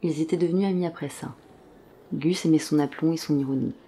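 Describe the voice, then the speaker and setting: Mellow, measured drama narrator. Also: mid-range voice, steady tone, breathy edge. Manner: measured, literary storytelling. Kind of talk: scripted audio drama narration. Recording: faint background ambience.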